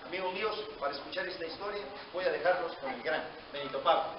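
Brief: Mexican male guide, formal presenter, slightly high voice, lo-fi camera audio with children around. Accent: Mexican Spanish. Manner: formal, brisk presenter addressing a crowd. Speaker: museum guide introducing the show. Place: large echoey room in a historic house. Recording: distant echoey lo-fi camcorder audio. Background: crowd of children.

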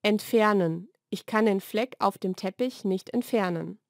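A woman reads short scripted vocabulary lesson sentences in a clearly enunciated, measured way. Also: mid-range voice, dry recording, clean studio sound. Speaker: language lesson narrator. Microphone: studio voice-over recording.

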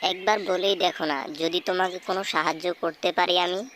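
Wise cartoon peacock, voiced by a woman: low register, kindly counsel, faint noise and birdsong behind. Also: steady tone, normal speed, natural voice. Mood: concerned. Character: kindly, wise male peacock giving firm advice. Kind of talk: children's animated folk tale dialogue.

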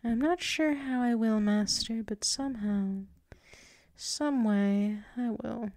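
A woman playing a flustered, submissive tsundere werewolf in an intimate ASMR roleplay, halting and murmuring, often slipping into whispers close to the mic. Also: a little high, darkish tone, no room sound. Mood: musing, teasing. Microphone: close microphone.

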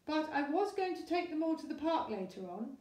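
A woman's voice: high voice, sing-song, brisk, a little mellow, far from the mic, pitched above the performer's own voice. A woman voicing a tired, unwell mother elephant in a storybook. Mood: weary. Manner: tired, cross. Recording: roomy echo.

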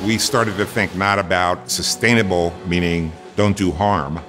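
Deep-voiced man from Brooklyn. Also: steady tone, measured pace, music in the background. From Brooklyn, New York. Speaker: farm cafe owner. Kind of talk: documentary interview.

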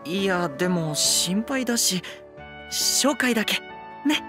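An actor playing a gentle, eager, boyish young lord, soft-spoken, polite and earnest, in an anime drama. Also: male voice, high voice, animated, slow pace, over music. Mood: concerned.

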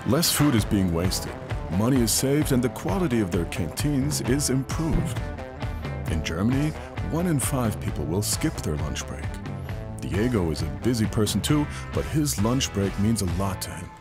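Guy narrating a documentary, lively pitch, music clearly playing under it. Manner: measured, informative voice-over. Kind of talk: scripted narration. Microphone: studio voice-over. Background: music bed.

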